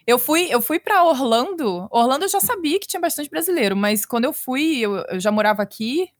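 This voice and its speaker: A woman from Brazil, a podcast guest, telling a personal story in a lively, animated way. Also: high-pitched, fairly quick, no room sound, studio quality. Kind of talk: casual storytelling chat.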